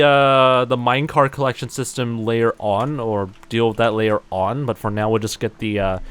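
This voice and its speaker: A man's voice: lowish voice, a little hiss or hum. A man chatting casually while livestreaming a game, rambling with lots of fillers and poking fun at himself. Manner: rambling, many fillers, self-mocking. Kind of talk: casual gaming livestream commentary.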